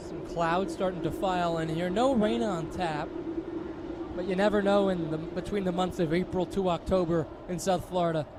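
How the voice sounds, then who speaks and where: Male baseball broadcast partner, high pitch, casual delivery, very noisy ballpark. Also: fairly even intonation, moderate pace.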